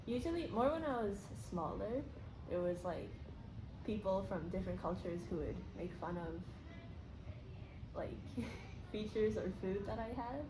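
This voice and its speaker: A woman's voice: medium pitch, animated, measured pace, long pauses. A woman giving casual, hesitant interview answers full of fillers. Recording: echoey room with background noise.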